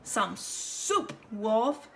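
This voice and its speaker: A plucky little girl from a storybook, played by a woman, high and lively. The voice is animated and wary, with big swings in pitch. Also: very slow, exaggerated voice. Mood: bold.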